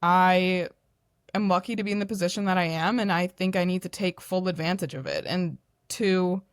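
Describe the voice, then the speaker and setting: A young woman who is a podcast guest, talking in a loud, animated, self-deprecating way. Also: lowish voice, normal speed, clear, resonant tone, dry recording, clean studio sound. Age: young adult. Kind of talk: candid podcast conversation.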